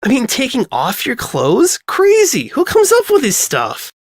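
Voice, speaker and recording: Man voicing a high, excitable teenage girl. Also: measured pace, dry recording, studio quality, exaggerated voice. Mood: giddy. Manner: excitable, blurting, high-voiced.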